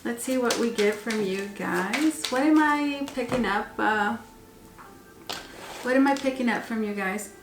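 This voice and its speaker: Woman, higher voice, losing her voice, tarot reader, rambling with fillers, echoey room, faint hiss. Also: measured pace. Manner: rambling, repeats words with many ums and uhs. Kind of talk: tarot reading for viewers.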